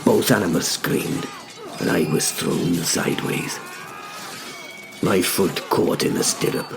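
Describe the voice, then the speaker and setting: A man narrating a scripted first-person drama in deliberate short phrases with dramatic pauses. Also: deep voice, vocal fry. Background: music.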